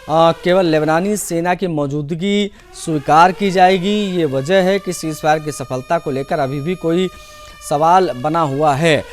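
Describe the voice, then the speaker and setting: A man's voice: fairly high pitch, slight background noise. A man presenting television news with commentary, in the role of anchor.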